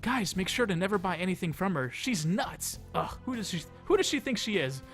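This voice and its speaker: A man acts out an online gossip from a visual novel, pitched up high. The delivery is indignant and sneering, as if rallying everyone against someone. Soft music sits behind the voice. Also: sing-song, a little fast, full character voice. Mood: indignant, scornful.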